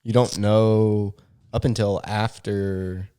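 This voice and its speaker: A man's voice: fairly low pitch, level tone, takes it slowly, dark tone, smooth. A man co-hosting a podcast in casual, conversational Bible discussion. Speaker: podcast co-host.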